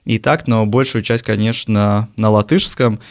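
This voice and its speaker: A young man in his twenties from Riga who is a native Latvian speaker. He answers interview questions in a thoughtful, hesitant way with many filler pauses. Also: on the low side, steady tone. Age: 20s. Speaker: guest, university lecturer.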